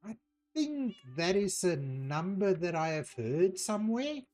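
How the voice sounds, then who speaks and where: A man from South Africa with an Afrikaans accent, a native Afrikaans speaker, chatting casually as a streamer, unhurried and hesitant with many ums. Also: high-pitched, very animated, a little mellow, soft background music. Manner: unhurried, hesitant, with frequent ums while reading out chat. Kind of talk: casual chat while playing a game.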